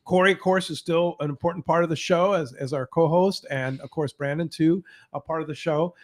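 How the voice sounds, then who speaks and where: A man co-hosting a radio talk show with a soft voice, talking in a relaxed, rambling way. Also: on the high side, a little fast. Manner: relaxed, rambling, many fillers. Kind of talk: casual radio banter. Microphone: studio microphone.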